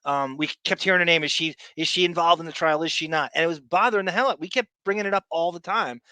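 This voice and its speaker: A man with a bright, forward voice and a slightly higher pitch hosts a casual podcast chat. He rambles and searches for words as he comments. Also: fast talker, no echo. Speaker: podcast host.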